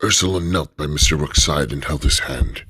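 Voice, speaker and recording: A man narrating an adventure radio drama in a measured storytelling manner. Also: extremely low pitch, sharp tone, husky, no echo.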